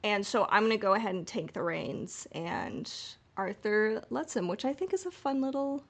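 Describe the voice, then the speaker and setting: A woman's voice: medium pitch, animated, moderate pace. A woman podcast co-host chatting casually in a playful, informal way about something she follows as a fan.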